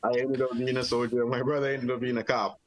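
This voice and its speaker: A man's voice: medium pitch, quick pace. A middle-aged man from Jamaica, an army veteran telling his story in a casual interview, rambling easily with many fillers. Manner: relaxed, rambling with many "you know" fillers.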